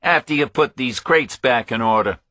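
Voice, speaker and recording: Man acting out forceful, smug thriller villain. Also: mid-range voice, normal speed, no echo, voice pushed up, lightly acted. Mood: smug, controlling. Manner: commanding, taunting. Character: menacing, smug armed male villain in a thriller.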